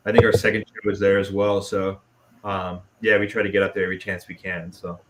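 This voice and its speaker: A man's voice: low-pitched, flat delivery, a little fast, a bit bright, a little hiss or hum. A man chatting casually as a guest musician in an interview, laid-back and self-deprecating. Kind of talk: casual chat, being interviewed.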